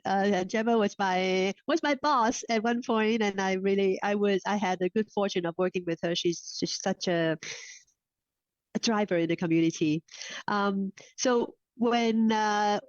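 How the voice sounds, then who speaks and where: A middle-aged woman, a Cantonese speaker, answers interview questions in a halting way, repeating and restarting her words. Her voice sits at an ordinary pitch. The recording is dry and a little dull. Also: no room sound. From Hong Kong. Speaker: guest. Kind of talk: casual interview chat. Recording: slightly dull, dry sound.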